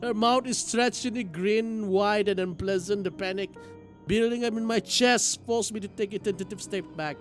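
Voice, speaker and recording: A man streaming a game, reading its story aloud in an expressive, theatrical way. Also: high voice, normal speed, faint music behind. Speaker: streamer playing a visual novel. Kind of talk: dramatic read-aloud narration with live reactions.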